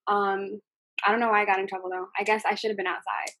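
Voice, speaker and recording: A young woman from the United States talks in a soft, gentle voice, full of ums and likes as she answers questions. Her voice sits at an ordinary pitch for a woman. The recording is dry, with little room sound. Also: level tone, quick pace, no echo. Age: young adult. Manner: hesitant, many ums and likes. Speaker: singer being interviewed. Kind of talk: casual interview answers.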